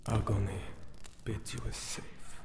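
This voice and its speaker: A lonely monster guardian of an ancient tomb, acted by a man, speaks in a low, gravelly half-whisper. The delivery is very slow and solemn, with formal, old-world phrasing, in an intimate ASMR roleplay style. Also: steady tone, a little mellow, slight background noise. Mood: solemn, disappointed.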